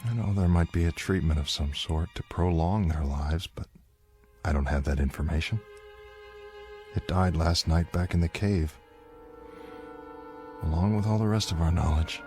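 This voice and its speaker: An actor playing an earnest, weary survivor in a radio drama, speaking with solemn, oratorical weight. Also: male voice, sits low, moderate pace, pauses often, lightly acted. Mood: weary resignation. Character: earnest, weary male survivor pleading for faith. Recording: studio radio drama, loud music underneath.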